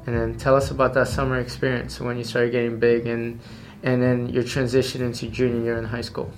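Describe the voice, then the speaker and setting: A woman's voice: very deep voice, little pitch movement, fairly quick, faint music behind, poor audio. A woman interviewing a guest with casual questions, often restarting her sentences and prompting in a friendly way.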